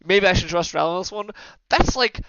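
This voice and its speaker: Bright-voiced, insistent man podcasting. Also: high voice, lively intonation, moderate pace, clear, resonant tone, dry recording. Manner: opinionated, insistent, swears freely. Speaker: podcast host. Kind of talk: casual podcast debate. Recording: slightly muffled, lo-fi dry sound.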